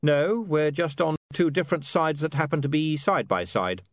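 A man voicing a defiant young newspaperman with sharp, quick comebacks. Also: on the high side, dry recording, studio quality, voice pushed up, lightly acted.

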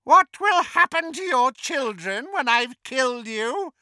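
A man's voice: very high-pitched, expressive, measured pace, pushed and bright, no echo, studio quality, voice pushed up, full character voice. A man voicing a mad, gloating witch villain in an audiobook, mocking and gleeful as she taunts.

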